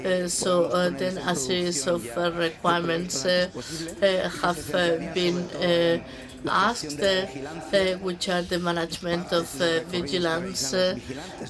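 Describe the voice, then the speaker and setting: Halting woman interpreting a conference talk. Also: lowish voice. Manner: halting, many drawn-out "uh" fillers between words.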